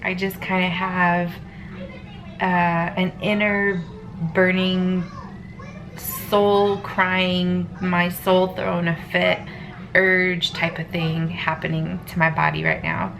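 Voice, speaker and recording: A woman with a stuffy head cold talking earnestly in a rambling personal vlog, with many pauses and ums. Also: fairly low pitch, a bit bright, slight background noise, poor audio. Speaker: family vlogger. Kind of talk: casual personal vlog monologue.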